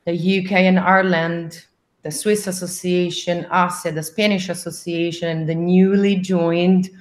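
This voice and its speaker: Low-pitched woman, echoey room. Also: flat delivery, measured pace. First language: not English. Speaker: association president, interview guest. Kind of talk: interview talk on a video call. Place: indoor room. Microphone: video call. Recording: echoey room over video call.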